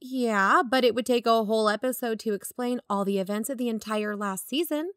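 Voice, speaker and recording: A woman's voice: a little high, brisk, a bit bright, no room sound, clean studio sound, close to an everyday voice. A woman playing a frank, determined podcast co-host, talking in a conversational, matter-of-fact scripted style. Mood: exasperated, firm. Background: background music.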